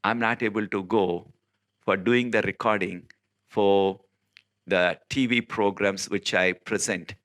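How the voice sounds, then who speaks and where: Earnest South Indian preacher, bright voice. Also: male voice, fairly even intonation. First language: Telugu. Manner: earnest, emphatic, with many filler pauses and sudden rises in pitch. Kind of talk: informal recorded sermon.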